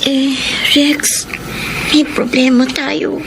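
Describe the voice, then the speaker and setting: An actress with a Filipino accent playing a distressed, whiny young daughter in a melodramatic radio drama. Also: female voice, high-pitched, little pitch movement, very slow, heavy background noise, exaggerated voice. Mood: anxious. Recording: music under the voice.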